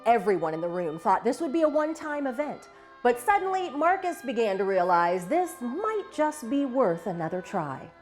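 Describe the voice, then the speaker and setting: A woman's voice: a little high, very animated, moderate pace, faint music behind. A woman reading scripted documentary narration as the program's narrator.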